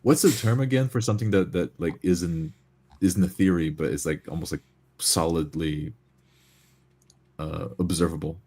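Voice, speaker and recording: A man's voice: sits low, normal speed, long pauses, a little mellow. A man in casual discussion, reasoning analytically and hesitantly as he searches for his words.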